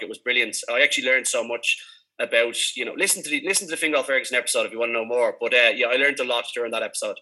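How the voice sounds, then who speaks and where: A man's voice: medium pitch, bright, forceful tone, poor audio. A man from Dublin with a Dublin Irish accent, chatting casually and in a rambling, informal way as a podcast host being interviewed. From Dublin, Ireland.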